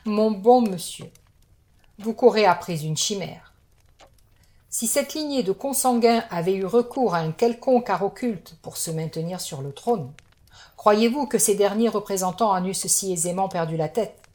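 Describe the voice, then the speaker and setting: An actress playing a cold, haughty sorceress with an amused, faintly scornful way of talking. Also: female voice, medium pitch, normal speed, mellow, natural voice. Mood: cool. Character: cold, mocking female sorceress.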